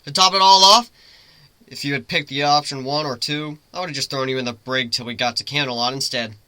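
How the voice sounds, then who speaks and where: A man voicing a cocky, teasing male griffin with a loud, playful, taunting delivery in a dramatic story reading. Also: medium pitch, a little fast, clean tone, no room sound, natural voice, low-quality recording. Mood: smug. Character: cocky teasing male griffin, gleeful daredevil sky sailor.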